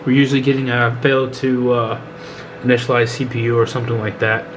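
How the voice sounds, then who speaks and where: A man's voice: medium pitch, a little fast, noisy background. Stuffy-nosed man chatting through a tech build. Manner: relaxed and chatty, with frequent ums and self-corrections. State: stuffy and congested from a cold. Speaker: YouTube tech vlogger. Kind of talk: casual hands-on tech vlog narration.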